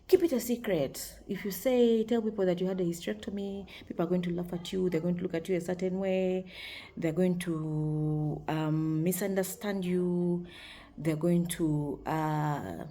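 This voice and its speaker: A woman in her forties with a deep voice, talking frankly and cheerfully to camera in a casual vlog, laughing often. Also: warm tone. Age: 40s. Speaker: vlogger.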